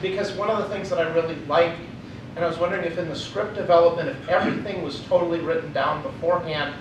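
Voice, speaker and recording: A man's voice: steady tone, quick pace. A man in the audience asking a long, hesitant question about how the script was written. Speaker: audience member. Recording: echoey room from far off with rumble.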